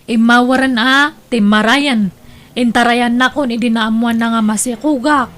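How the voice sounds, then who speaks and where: A woman's voice: fairly high pitch, steady tone, normal speed, fairly bright tone, close to an everyday voice. A woman playing a young village daughter in an audio drama, speaking with an Ilocano Filipino accent. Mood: downcast. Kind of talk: melodramatic radio-style drama acting.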